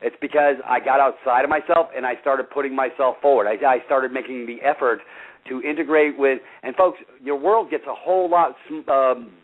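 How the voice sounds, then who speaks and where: A loud, passionate man in his fifties talks on a call-in show, rambling and full of fillers. His voice comes through a thin, tinny phone line at a middling pitch. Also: fairly quick. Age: 50s. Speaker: radio show host. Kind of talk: casual talk show chat. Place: front porch outdoors.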